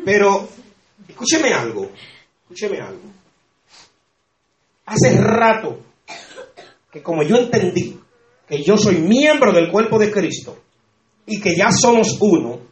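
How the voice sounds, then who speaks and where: A man with a Dominican Spanish accent, a pastor preaching an exuberant sermon with shouts of praise and laughter. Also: sits high, very animated, slow pace, pauses often. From Dominican Republic. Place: church hall. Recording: echoey church with congregation.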